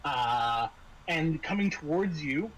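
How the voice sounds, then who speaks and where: A man running a tabletop horror game as game master, improvising scene descriptions with halting, dramatic pauses. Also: fairly high pitch, expressive, a bit bright, a little hiss or hum, low-quality recording.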